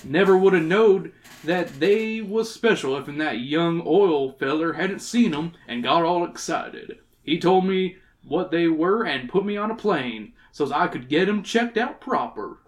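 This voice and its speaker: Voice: medium pitch, expressive, measured pace, lightly acted. A reader voicing a stubborn, smug old male prospector with rustic Old West phrasing, from a storybook read aloud. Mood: smug, folksy. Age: older. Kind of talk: reading a storybook character aloud.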